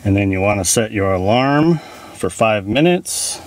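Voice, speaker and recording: Low-pitched man giving casual tutorial. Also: animated, measured pace, slight background noise. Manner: informal, instructional. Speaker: tutorial host. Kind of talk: casual step-by-step tech tutorial.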